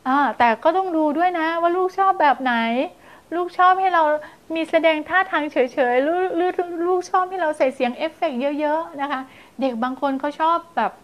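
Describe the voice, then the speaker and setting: A high-voiced woman in her thirties speaks to parents as a child development teacher, animated and expressive, breaking into playful story voices to demonstrate. She is close on a lapel mic in a small indoor studio. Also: brisk. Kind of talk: parenting advice video. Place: small indoor studio in front of blue backdrop.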